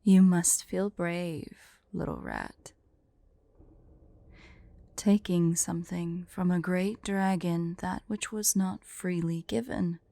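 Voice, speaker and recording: A woman voicing a haughty, vain, teasing female dragon who is secretly fond, in an intimate, breathy, imperious ASMR roleplay style. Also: lowish voice, big pitch swings, warm tone, no echo, exaggerated voice. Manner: slow, imperious, playful, breathy near-whispers at times.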